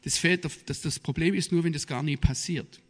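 Middle aged guy w southwest german accent giving a talk, deliberate and emphatic, voice a bit high, big echoey hall. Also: steady tone. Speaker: guest speaker, journalist and theologian. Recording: reverberant hall, long echo.

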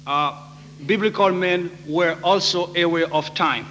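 A man from Haiti with a Haitian accent, preaching in short phrases with frequent halting pauses for an interpreter. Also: high-pitched, bright, forceful tone. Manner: halting, pausing often. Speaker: guest preacher. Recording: noisy echoey hall with hum.

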